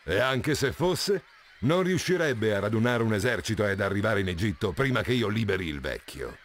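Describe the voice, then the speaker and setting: Deep scheming cyclops villain. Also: male voice, lively intonation, slight background noise. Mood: commanding. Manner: deliberate, condescending.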